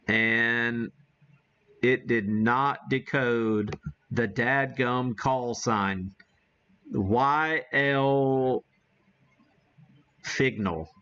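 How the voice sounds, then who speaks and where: A man giving a casual live demo as an amateur radio streamer, talking haltingly as he thinks aloud while working the gear. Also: mid-range voice, bright, forceful tone, smooth, lo-fi sound.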